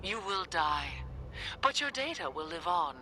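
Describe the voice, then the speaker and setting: A woman's voice: lowish voice, sing-song, a little slow, sharp tone, exaggerated voice. An actress playing a cold, contemptuous female scientist villain in a video game, with clinical, disdainful, theatrical delivery over music. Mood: menacing.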